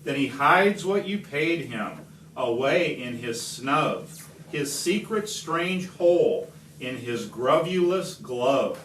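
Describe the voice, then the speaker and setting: A man reading a rhyming picture book aloud to children, expressively, with deliberate, dramatic pauses. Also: medium pitch, expressive, a bit bright. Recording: roomy, distant home video with slight noise.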